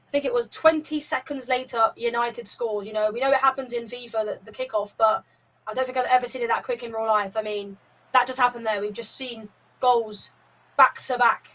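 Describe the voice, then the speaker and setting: A woman co-commentates in a chatty, upbeat way, adding analysis between plays. Her voice sits fairly high, and she sounds a little distant from the microphone. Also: steady tone, fairly quick, fairly bright tone. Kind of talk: color commentary.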